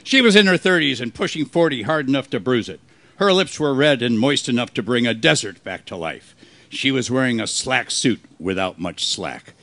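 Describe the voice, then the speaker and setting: A man playing a world-weary, wisecracking private detective in a hard-boiled radio play, delivering his lines deadpan. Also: mid-range voice, normal speed. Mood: wry narrating. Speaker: amateur actor in a live radio play. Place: live performance on a cruise ship.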